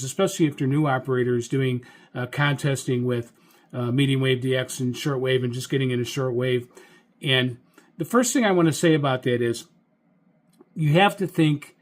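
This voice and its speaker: An American man, a radio hobbyist host, giving informal tips in a halting, rambling, explanatory way. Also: mid-range voice. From United States. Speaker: amateur radio operator and host. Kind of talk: informal instructional talk.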